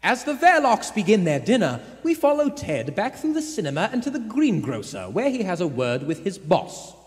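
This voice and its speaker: A man narrating a thriller as a brisk, dramatic announcer in old-time radio style. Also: sits high, huge pitch swings. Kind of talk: 1940s radio acting, scripted narration. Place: on a theater stage. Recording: echoey theater hall.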